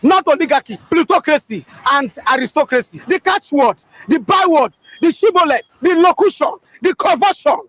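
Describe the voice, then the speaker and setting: A man's voice: very high voice, lively intonation, moderate pace, hardly any pauses, a bit bright, no room sound. A man declaiming bombastic political oratory, stacking long obscure words with emphatic repetition.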